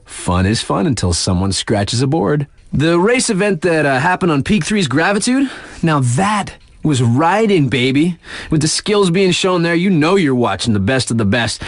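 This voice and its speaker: A video game radio DJ for a snowboard resort, with a fairly high male voice that swings freely up and down. He is laid-back and wry, delivering slangy, conversational bulletins. The sound is dry and studio-close. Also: no echo. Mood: amused, wry. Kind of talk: casual in-game radio announcements.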